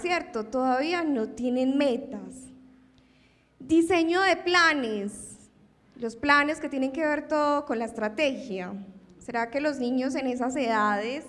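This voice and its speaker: Woman, high voice, conference lecture, rhetorical questions, long hall reverb. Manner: lively, asks audience rhetorical questions. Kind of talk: academic conference talk.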